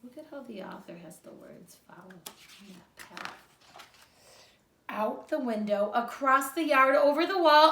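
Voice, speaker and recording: Female primary teacher, highish bright voice, animated read-aloud style with asides to pupils; distant mic in an echoey room. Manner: slow, animated storybook delivery with teaching asides. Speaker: second grade teacher. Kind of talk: expressive read-aloud lesson for young children. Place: indoors at home. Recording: roomy echo, distant microphone.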